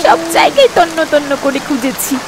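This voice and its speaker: A woman's voice: high-pitched, sing-song, a little fast, heavy background noise. A woman voicing a dramatic, accusing wife in a cartoon moral story, melodramatic and scandalised. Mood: distraught. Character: dramatic, accusing wife lamenting a lost necklace. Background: music.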